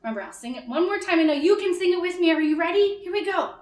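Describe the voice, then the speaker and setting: A woman leading a toddler storytime in simple short phrases, with an animated, sing-song, encouraging manner. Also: very high-pitched, fast. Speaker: children's librarian leading storytime. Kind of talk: toddler storytime, singing-along instruction and read-aloud. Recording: echoey room.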